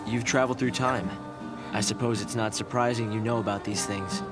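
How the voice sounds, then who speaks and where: An actor playing a thoughtful young research director in a video game, explaining things in a measured, earnest way. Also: male voice, lowish voice, steady tone, lightly acted. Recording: loud orchestral music behind. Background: game music.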